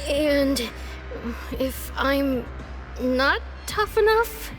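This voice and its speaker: A woman's voice: sits high, animated, fairly bright tone, faint music behind, exaggerated voice. A woman voicing a reluctant young boy in a video game, sulky and whining as he talks. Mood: uneasy. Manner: sulky, whining, drawn-out complaining.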